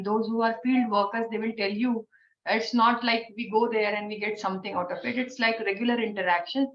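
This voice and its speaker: Hoarse Indian woman on a video call. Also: medium pitch. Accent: Indian English. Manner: thoughtful, advisory, frequent fillers. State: hoarse from a cold. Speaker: invited expert speaker. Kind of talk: online training Q&A. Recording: dull, roomy online call audio with faint noise.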